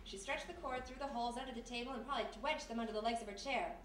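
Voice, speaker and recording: A woman with an American accent playing a sassy, quick-witted girl Friday in a radio mystery, brash and slangy. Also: on the high side, natural voice. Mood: shrewd. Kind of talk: live 1940s-style radio mystery acting. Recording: noisy echoey hall, distant stage mic.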